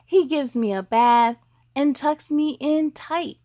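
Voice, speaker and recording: High-pitched woman hosting children's story time. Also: slow. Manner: animated, sing-song, addressing young viewers directly. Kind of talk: children's read-aloud with chatty asides.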